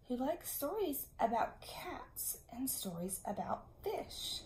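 A woman in her thirties, a schoolteacher reading a picture book aloud to young children in an expressive, teacherly way, often putting questions to the listeners. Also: fairly high pitch. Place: small indoor classroom-style room. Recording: echoey room.

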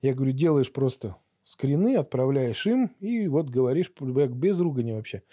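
A man's voice: mid-range voice, lively intonation, normal speed, warm tone, husky, no room sound. A middle-aged man talking conversationally as a podcast guest, explaining things through anecdotes, recorded close on a studio microphone. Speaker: podcast guest, barber and teacher. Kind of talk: conversational interview talk. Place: small acoustically treated podcast studio. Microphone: boom-mounted studio microphone up close.

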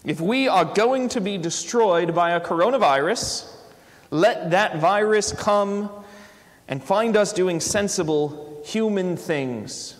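Man putting on an emphatic British man of letters, reverberant hall. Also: high-pitched. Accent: put-on British. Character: eloquent British man of letters, brisk and stoical. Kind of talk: dramatic reading of an essay.

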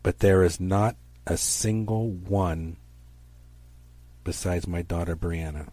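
A man's voice: low voice, steady tone, takes it slowly, dark tone. A middle-aged man preaching a stern, accusatory fire-and-brimstone sermon in an emphatic, declamatory way.

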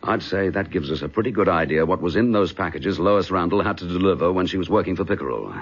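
An actor playing a brisk, courteous British chief inspector in a radio drama, with a husky voice. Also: male voice, sits low. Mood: keen, methodical. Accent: British English. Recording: dull lo-fi radio drama recording.

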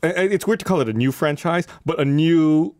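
A man's voice: on the high side, fairly quick, a little mellow, dry recording, studio quality. A man in his thirties with an American accent, chatting casually as a co-host on a podcast. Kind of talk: casual chat. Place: indoor podcast studio. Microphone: desk studio microphone.

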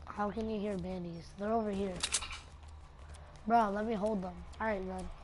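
A woman's voice: mid-range voice, steady tone, normal speed. A woman chatting casually and teasingly with a teammate while playing a game. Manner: teasing, bossy. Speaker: gamer. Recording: loud game noise behind.

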